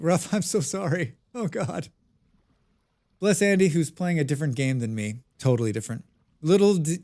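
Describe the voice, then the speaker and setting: A man's voice: a little high, darkish tone. An American man chatting casually with his viewers while playing a game on a live stream, reading and answering their comments. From United States.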